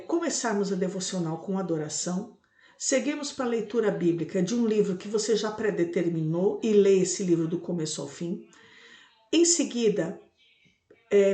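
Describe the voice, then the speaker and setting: A woman's voice: mid-range voice, dark tone. A woman with a Brazilian accent giving a devotional Bible teaching in a didactic, explanatory way, often checking in with tag questions. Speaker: evangelical bishop and Bible teacher. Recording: roomy, echoing room sound.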